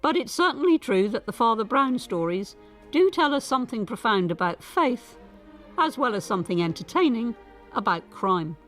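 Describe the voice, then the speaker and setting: A woman presenting a scripted documentary in a measured, articulate way. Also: a little high, sing-song, a bit bright, soft background music.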